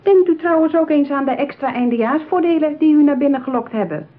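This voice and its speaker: A woman's voice: high-pitched, expressive, fast talker. An actress playing a formal, polite saleswoman at a marriage bureau, coaxing a customer in a radio play. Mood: persuasive, haughty. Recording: muffled, narrow-band radio play recording.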